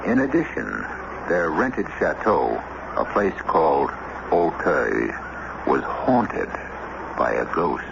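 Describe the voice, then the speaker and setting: Male American mystery-radio host, gravelly dramatic storytelling, measured phrasing, clearly noisy vintage broadcast. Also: extremely low pitch, sing-song, muffled recording. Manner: measured, theatrical. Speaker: narrator and host. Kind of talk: dramatic scripted radio narration.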